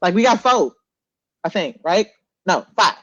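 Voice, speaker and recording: A man's voice: high-pitched, sing-song, measured pace, fairly bright tone, dry recording. An American man with an opinionated, teasing manner, bantering casually as a podcast co-host. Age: 30s to 40s. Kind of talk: casual banter about comic book films. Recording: dull, lo-fi close sound.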